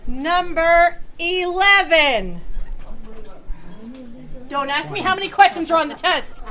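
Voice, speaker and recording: A woman's voice: very high-pitched, lively intonation, takes it slowly, pushed and bright. A woman hosting a live quiz at a group luncheon, bantering like a playful, mock-stern schoolteacher. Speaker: quiz host at a club luncheon. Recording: live event room with crowd and music. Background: crowd chatter and music clips.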